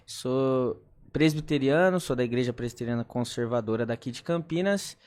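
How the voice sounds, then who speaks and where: Courteous twenty-year-old law student. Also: male voice, mid-range voice, normal speed, darkish tone, clear, resonant tone. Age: 20s. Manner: polite, courteous. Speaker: law student, podcast guest. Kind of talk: casual podcast conversation.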